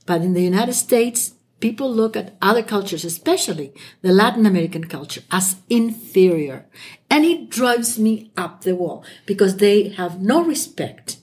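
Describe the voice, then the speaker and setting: A woman's voice: medium pitch, lively intonation, moderate pace. A woman in her seventies from Chile whose first language is Spanish, chatting outspokenly as a guest. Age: 70s. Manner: chatty, warm-hearted and outspoken, with frequent "you know". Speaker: guest, podcast hosts' mother. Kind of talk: casual family conversation.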